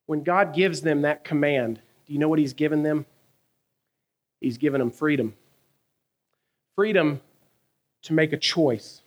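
A man, preaching a sermon in a conversational, animated way, asking his listeners questions and telling stories from his own life. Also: fairly high pitch, measured pace, pauses often. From American South. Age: 30s. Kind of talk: sermon with personal storytelling.

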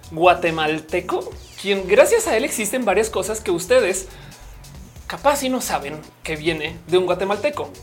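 A young woman from Colombia with a slightly low-pitched voice hosts a live stream. She chats loosely and playfully, laughing easily as she reacts to viewers. Faint music plays softly beneath her voice. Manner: chatty and playful, laughs often. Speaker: livestream host. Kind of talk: casual live-stream chat reading comments.

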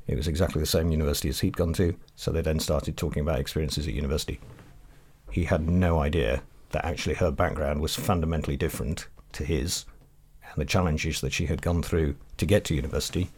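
A man on a panel speaks in a measured, reflective way. His voice is very low and slightly husky. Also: darkish tone. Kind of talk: panel discussion.

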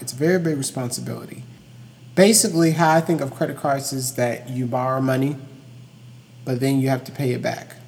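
Young man, lower voice, very echoey room. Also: gravelly, a little mellow, slight background noise. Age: young adult. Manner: emphatic and repetitive, stressing key points. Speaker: podcast host. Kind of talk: informal educational solo podcast talk. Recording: long hollow room reverb.

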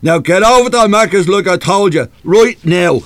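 A man's voice: high voice, lively intonation, moderate pace, no echo. A man with an Irish accent playing a coarse, abusive, hard-drinking husband in a live radio play. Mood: threatening, furious. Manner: coarse, belligerent, cursing.

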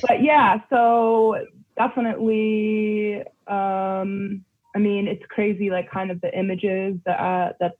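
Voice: medium pitch, little pitch movement, slow pace, slightly rough. A young adult reading a poem aloud, then chatting casually about it, rambling with many fillers. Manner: rambling, heavy with fillers in chat. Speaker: poet and guest. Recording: tinny phone-like line.

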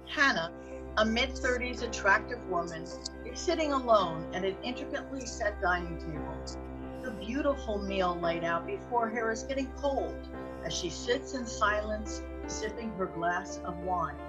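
A woman reading scripted narration for a radio drama in a measured storytelling manner. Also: medium pitch, rough recording.

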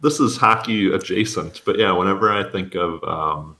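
A man's voice: sits low, moderate pace, crowd noise. A man with an American accent co-hosting a podcast, trading casual, dryly teasing jokes in sports chat. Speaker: podcast co-host.

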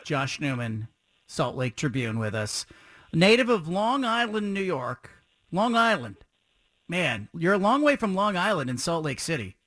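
A man hosting a sports talk radio show, chatty and energetic, asking long rambling questions. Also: a little high, a little slow, no room sound.

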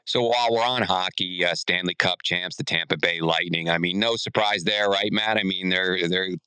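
A man with a deep, very clear voice hosts a casual sports chat. His tone is bright and he prompts his guest conversationally. The sound is close and dry. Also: moderate pace, dry recording. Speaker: podcast host.

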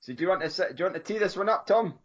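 A man's voice: high voice, expressive, very fast, highs cut off. A Scottish man co-hosting a running podcast, joking and self-mocking in casual chat. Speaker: podcast co-host.

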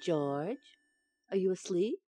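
An actress playing a feisty, playful housewife in a 1940s-style radio sitcom, with wry, teasing delivery. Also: female voice, on the low side, lively intonation, takes it slowly, warm tone, no echo. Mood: soft, coaxing.

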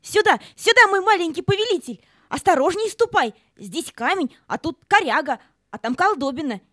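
A woman playing an eager, devoted, fussing dog in a children's audio play, excitable and solicitous. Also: extremely high pitch, moderate pace, dry recording, clean studio sound, exaggerated voice. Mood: anxious eagerness.

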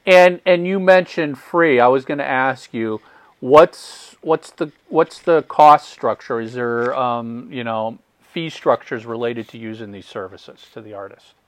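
Host: mid-pitched man, dry close sound, friendly questioning, restarts often. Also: unhurried, no room sound. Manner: asks questions with false starts and repeats. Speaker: podcast host. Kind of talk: interviewing a guest.

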